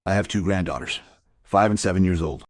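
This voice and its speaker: A man's voice: deep voice, fairly quick, no room sound, studio quality. A man playing a staid, uneasy older retired official in a crime audio drama.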